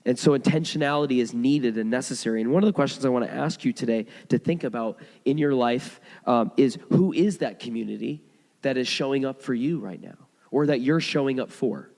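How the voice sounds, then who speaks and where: A hoarse man preaching a sermon, telling stories in a conversational way with frequent restarts. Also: medium pitch, fairly quick, darkish tone. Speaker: pastor. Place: church sanctuary. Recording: long hall reverb.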